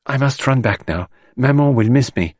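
A man puts on a young French woman from a storybook, pitched up from his own voice. She is shy and anxious, hesitant yet sweetly polite, recorded dry and close. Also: lively intonation, moderate pace, a little mellow, no room sound, lightly acted. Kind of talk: audiobook character voice.

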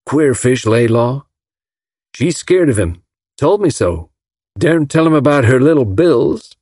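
A man voicing a wealthy, folksy gentleman from the South, talking effusively. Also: mid-range voice, animated, unhurried, breathy edge, no room sound, clean studio sound, pitched above the performer's own voice. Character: wealthy Alabama gentleman, gushing and admiring.

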